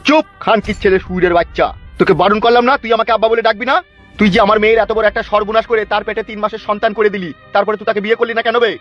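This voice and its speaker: A man's voice: high voice, steady tone, close to an everyday voice. A man voicing a bossy, furious older man in a comedy cartoon, scolding and cursing. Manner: scolding, cursing, rapid-fire. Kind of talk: Bengali comedy cartoon dub. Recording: muffled lo-fi sound, music behind.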